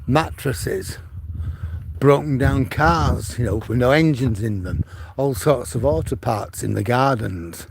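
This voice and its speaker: An older retired man from Manchester rambles on as he walks his dog along a seaside promenade. His voice is gravelly and his pace is slow and easy, with restarts as he chats into a phone on a gimbal. Faint outdoor sounds are heard behind him. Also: mid-range voice, noisy background. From Manchester, England. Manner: chatty, rambling storytelling with frequent restarts. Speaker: vlogger walking with his dog. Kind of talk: casual walking livestream chat.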